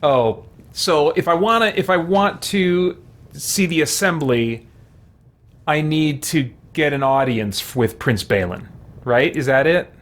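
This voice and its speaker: A man's voice: on the high side, lively intonation, a little slow, fairly bright tone. A man streaming a game with casual let's play commentary, thinking aloud and reading quest text. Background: game music.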